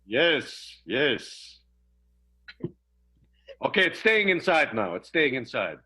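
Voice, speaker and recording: An actor playing a fussy, solicitous husband in improvised radio melodrama, pompous, precise and over-courteous. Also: male voice, medium pitch, sing-song, slow, pushed and bright, full character voice. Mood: pedantic. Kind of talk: improvised radio play, old-time melodrama.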